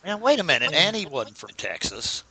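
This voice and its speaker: A man's voice: on the high side, very animated, fairly quick, bright, forceful tone, breathy edge, low-quality recording. A man joking crudely with friends on a group voice call. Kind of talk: casual group voice chat.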